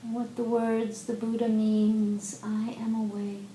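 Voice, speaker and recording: A woman leading a guided meditation as a teacher, speaking gently and unhurriedly with long pauses in an indoor room. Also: flat delivery. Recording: roomy echo, faint rumbling background noise.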